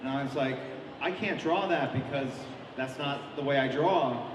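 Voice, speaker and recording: A man's voice: mid-range voice, normal speed. Man speaking from far off in an echoey hall. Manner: rambling, many ums and restarts. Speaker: comic artist guest. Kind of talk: convention interview answers. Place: large convention hall. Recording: echoey hall, mic at a distance, crowd noise.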